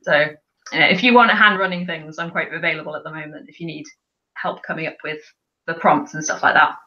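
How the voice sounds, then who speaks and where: Woman, bright forceful voice, chatty, dull lo-fi stream audio. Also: low-pitched, steady tone, quick pace. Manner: chatty, laughs often. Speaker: livestream host. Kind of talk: casual group chat.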